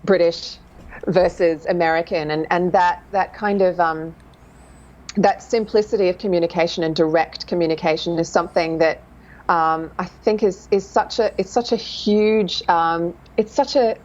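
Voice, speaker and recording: A woman with a lowish voice talks through her craft as a dialect coach, thinking aloud with lively, self-mocking humour. The recording sounds somewhat dull and lo-fi, as if the highs were missing. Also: normal speed. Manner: thoughtful, animated, self-deprecating humour. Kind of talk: casual interview chat.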